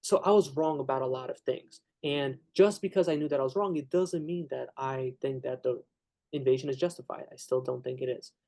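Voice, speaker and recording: A man's voice: medium pitch, creaky, dark tone. A young man, the interviewer, debating casually with frequent restarts and fillers. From Ukraine. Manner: halting, many restarts and fillers.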